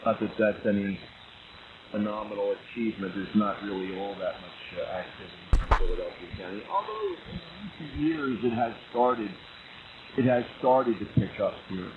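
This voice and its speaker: A man's voice: lowish voice, moderate pace. A man chatting casually as an amateur radio operator, heard over a ham radio transmission. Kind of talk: casual on-air radio chat. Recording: muffled, very noisy ham radio signal.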